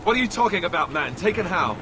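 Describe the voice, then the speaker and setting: An actor playing a resolute young warrior companion in a fantasy video game. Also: male voice, sits high, very animated, fast, noisy background. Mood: urgent, determined.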